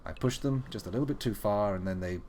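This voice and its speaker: A man's voice: lowish voice, quick pace, darkish tone, slight background noise. A young man streaming a video game live, playful and joking, with muttered asides.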